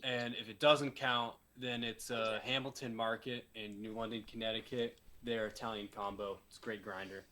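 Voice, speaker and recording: Bright-voiced young man, chatty. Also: on the low side, flat delivery, normal speed, hardly any pauses. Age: 20s. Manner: chatty, detailed, playful. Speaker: band member guest. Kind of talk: casual chat.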